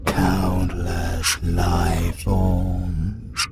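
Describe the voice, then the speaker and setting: A man voicing an ancient, menacing world-devouring demon in an audio roleplay, British, with a slow, brooding, incantation-like delivery. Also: completely flat delivery, vocal fry, exaggerated voice. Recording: loud rumbling noise behind.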